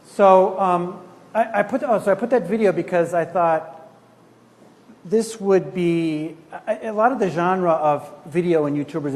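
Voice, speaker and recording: A man giving a conversational talk at a conference, relaxed and chatty with frequent restarts. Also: a little high. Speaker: conference speaker. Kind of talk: conversational lecture. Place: conference hall. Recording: reverberant hall with faint noise.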